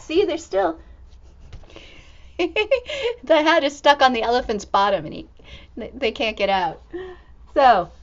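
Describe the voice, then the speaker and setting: High voiced lady doing storytime for little kids, takes her time, very expressive and wowed, bit muffled audio. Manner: exclamatory, expressive, dramatic gasps and wonder. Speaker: storytime reader and teacher. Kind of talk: animated picture-book storytelling for young children.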